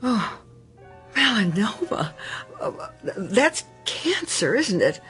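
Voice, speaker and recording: A woman's voice: medium pitch, sing-song, slow, fairly bright tone, faint music behind. An actress playing a timid, anxious female patient in a radio drama, speaking hesitantly with nervous stammers.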